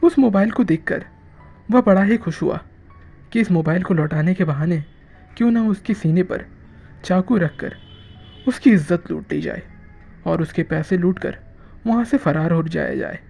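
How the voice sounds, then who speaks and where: A narrator telling a scripted horror story in a dramatic, drawn-out way with frequent pauses. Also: medium pitch, expressive, creaky, dark tone, soft background music.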